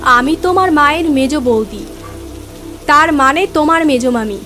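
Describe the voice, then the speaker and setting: A mysterious, affectionate village aunt from a horror cartoon, played by a woman. Her voice is very high, with a coaxing, familiar manner. Soft music and rain run behind her. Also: unhurried. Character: mysterious affectionate aunt appearing on a rainy night. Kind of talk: cartoon horror story dialogue.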